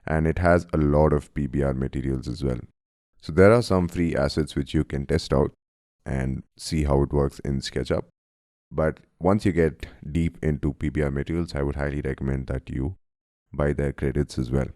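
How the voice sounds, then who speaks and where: A man from India with a cold, narrating a friendly software tutorial and calling his viewers guys. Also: rumbling low, fairly even intonation, normal speed, dry recording, studio quality. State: has a cold, voice deeper than usual. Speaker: software instructor. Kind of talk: screen-recorded tutorial narration.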